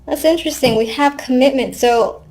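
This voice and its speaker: A woman's voice: sits high, moderate pace, darkish tone, a little hiss or hum, low-quality recording. A woman giving a casual, unscripted tarot reading, thinking aloud conversationally with wry asides. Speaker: tarot card reader.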